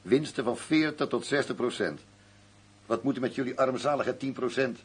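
An actor playing a shrewd, stubborn arms dealer in a crime radio drama, speaking in a curt, commanding and condescending manner. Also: male voice, mid-range voice, moderate pace, breathy edge. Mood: hard, condescending. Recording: faint noise and hum.

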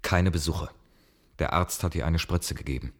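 An actor playing a stern, curt second-in-command of a starship in a German science-fiction radio drama, clipped and commanding. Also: male voice, rumbling low, normal speed. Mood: cold authority. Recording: clearly noisy old radio play recording.

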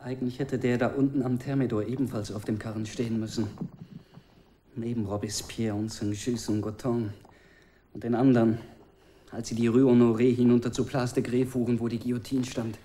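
An actor playing a reserved, brooding, weary young man in a German radio drama, speaking sparely and deliberately. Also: medium pitch, flat delivery, warm tone, slight background noise, natural voice. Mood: sombre, brooding.

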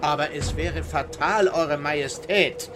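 A man plays a worried adviser to a monarch in a radio play. The voice is a little high, cautious and deferential, against a noisy background. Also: a little slow, close to an everyday voice. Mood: anxious.

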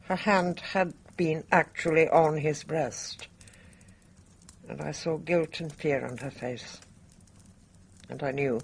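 A woman's voice: deep voice, fairly even intonation, slow, vocal fry, long pauses, breathy edge, exaggerated voice. An actress playing a resolute, dignified older Englishwoman in a radio drama, speaking with formal, grave composure. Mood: grave confession. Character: dignified older woman, resolute, guilt-burdened bridge player.

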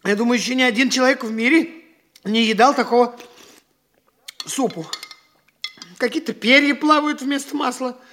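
An actor playing a vain, fussy, hungry young gentleman in a theatrical radio play, haughty and exclamatory. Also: very high-pitched, moderate pace, pauses often, a little hiss or hum. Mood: disgusted grumbling.

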